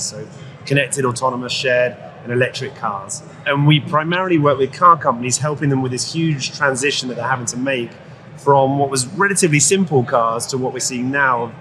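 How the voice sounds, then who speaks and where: A man, a company chief executive, giving measured, articulate answers as a guest in an interview. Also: medium pitch. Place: trade show floor. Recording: live event with clear background noise. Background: show-floor ambience.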